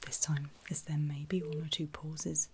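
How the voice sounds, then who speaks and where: A woman speaking in a breathy whisper, gently reassuring and encouraging as she plays a clinician in an ASMR medical roleplay. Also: deep voice, level tone, moderate pace, noisy background. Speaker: ASMR performer playing a surgical clinician.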